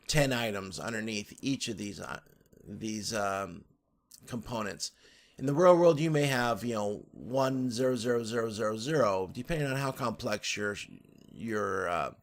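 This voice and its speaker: A man giving an informal slide tutorial as an instructor, explaining step by step with some hesitant, repeated phrasing. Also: medium pitch. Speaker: course instructor.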